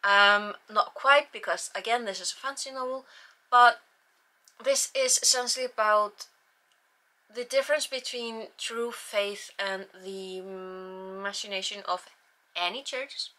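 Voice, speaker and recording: Bright chatty Icelandic-accented woman. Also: mid-range voice, fairly even intonation, unhurried. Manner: chatty, self-deprecating, rambling with restarts. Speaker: book channel host. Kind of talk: casual book review vlog.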